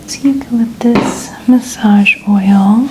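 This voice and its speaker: A woman speaking in a breathy, soothing whisper, gently reassuring as she plays a chiropractor in a soft-spoken ASMR roleplay. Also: fairly high pitch, slow pace, noisy background. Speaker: ASMR creator playing a chiropractor.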